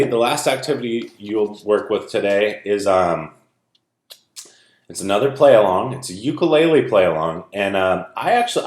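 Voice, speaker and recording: Animated male teacher in a roomy space. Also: mid-range voice. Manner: informal, explanatory, addressing young students directly. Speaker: music teacher. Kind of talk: informal video lesson and read-aloud for children. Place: indoor room at home. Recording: roomy indoor echo.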